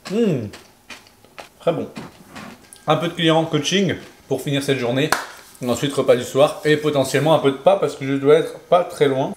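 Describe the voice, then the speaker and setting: A man's voice: fairly high pitch, moderate pace, pauses often. A young man, a nutrition coach, chatting casually in a vlog as he explains his food and daily steps. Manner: chatty, explaining step counts and food as he goes. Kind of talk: casual vlog chat to camera. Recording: faint background noise.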